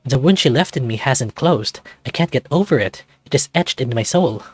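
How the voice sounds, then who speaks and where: A man's voice: mid-range voice, expressive, moderate pace, darkish tone, slightly rough, no room sound, faint music behind. A man playing a troubled, guarded, sardonic man in a visual novel, with dry, brooding delivery. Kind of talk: visual novel character acting.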